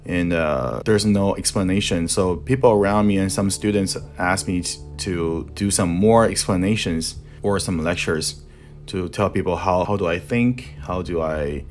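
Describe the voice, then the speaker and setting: Young guy w chinese accent, low deep voice, kinda halting, explaining his tattoo design, faint music behind. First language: Mandarin Chinese. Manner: halting, searching for words in his second language. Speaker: tattoo artist and art instructor. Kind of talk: voice-over explaining a design process.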